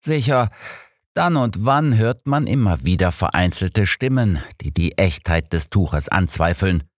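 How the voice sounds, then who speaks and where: Audiobook role, grim old clergyman acted by a man: deep, dignified, curt, formal. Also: expressive, unhurried, no echo, studio quality. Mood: defensive, formal. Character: grim elderly pastor, indignant and dignified.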